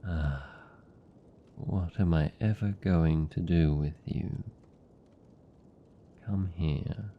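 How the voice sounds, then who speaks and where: Casting: male actor as lonely British vampire count for ASMR roleplay, very low and gravelly, tender but possessive. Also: narrow, tinny audio, a little hiss or hum, full character voice. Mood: soothing, affectionate. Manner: soft, slow, soothing, lingering pauses.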